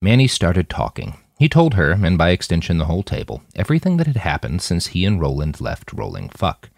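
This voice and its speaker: Deep-voiced, highly animated male storyteller. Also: fairly quick, gravelly, dry recording, clean studio sound. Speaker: fiction podcast narrator reading a novel. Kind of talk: expressive storytelling narration with character dialogue.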